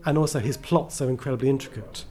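A man's voice: medium pitch, fast talker, dark tone. A man chatting casually as an interview guest, a writer who is enthusiastic, rambling and self-deprecating.

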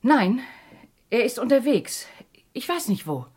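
A woman playing an indignant, strong-willed mother in a German radio crime drama, husky and emphatic. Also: fairly high pitch, big pitch swings, unhurried, darkish tone, exaggerated voice. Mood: indignant, defensive.

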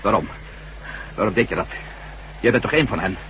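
An actor playing an emotional, struggling former astronaut hero with intense, halting delivery in a science fiction radio drama. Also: male voice, lowish voice, lively intonation, fairly bright tone. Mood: earnest. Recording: faintly noisy old radio recording.

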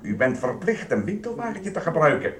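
An actor playing a grumpy, officious supermarket security guard in a radio play, brusque, suspicious and lecturing. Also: male voice, on the high side, big pitch swings, brisk, a crowd in the background. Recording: roomy echo, music behind.

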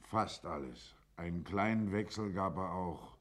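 An actor playing a plain-spoken small-town neighbour in a German radio drama, measured and deferential in manner. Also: male voice, low-pitched.

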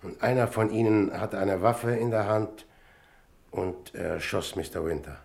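Radio crime drama: courteous male investigator, deep-set gravelly tone, deliberate and gently probing. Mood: sober, reporting.

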